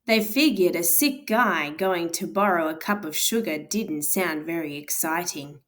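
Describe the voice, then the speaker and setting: A woman's voice: fairly low pitch, fairly bright tone. A woman reading as the wronged wolf. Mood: aggrieved. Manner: storybook read-aloud, slow drawn-out words. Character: male wolf, self-pitying, claims innocence. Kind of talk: storybook narration. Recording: guitar music behind the voice.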